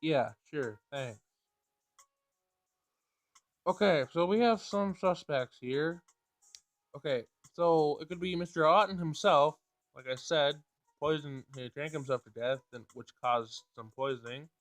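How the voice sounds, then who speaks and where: A man's voice: a little high, a little slow, long pauses, no echo. A man hosting a gameplay recording, reading a visual novel aloud and adding casual commentary of his own. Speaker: gameplay host. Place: home screen recording. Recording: music behind the voice.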